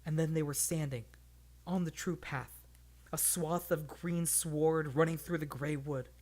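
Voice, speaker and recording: A read-along streamer with a medium-pitched voice. The delivery is expressive storybook narration, informal and unpolished, as on a live stream. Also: measured pace.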